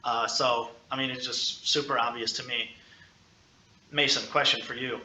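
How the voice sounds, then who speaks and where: A man's voice: mid-range voice, level tone, normal speed, bright, forceful tone. A man hosting a school sports podcast in a casual interview, with a playful, teasing way of hyping up his guests. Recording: roomy, echoey sound.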